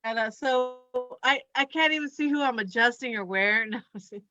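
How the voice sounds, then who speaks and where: A woman performs loose, conversational stand-up in a bright, forward voice. She talks haltingly, drifting between thoughts and giggling at her own remarks. The sound is dry and slightly dull, like a lo-fi recording. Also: fairly high pitch, no echo, faint music behind. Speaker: stand-up comedian and chiropractor. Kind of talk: rambling conversational stand-up set.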